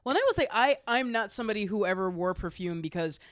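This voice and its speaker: A woman's voice: mid-range voice, expressive, quick pace, no echo, clean studio sound. A woman talking casually and playfully as a podcast co-host, conversational and given to acting out her own thoughts.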